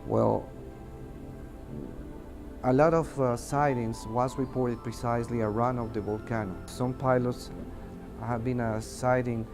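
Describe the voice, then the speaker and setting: A man with a Mexican accent, a native Spanish speaker, giving testimony in an interview and haltingly searching for words in his second language. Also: mid-range voice, fairly even intonation, over music. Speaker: air traffic controller giving testimony. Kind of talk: interview testimony, unscripted recounting.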